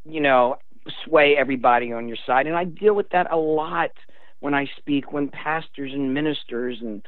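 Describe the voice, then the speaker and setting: A man's voice: a little high, normal speed, no echo. An older man speaking as an interview guest, explaining things in an earnest, emphatic way. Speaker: guest author. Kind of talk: explanatory radio interview talk. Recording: tinny narrow phone line.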